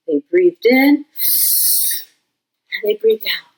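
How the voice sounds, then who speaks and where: A woman teacher reading a children's picture book aloud in an expressive way. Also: mid-range voice. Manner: slow, expressive. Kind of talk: children's storybook read-aloud.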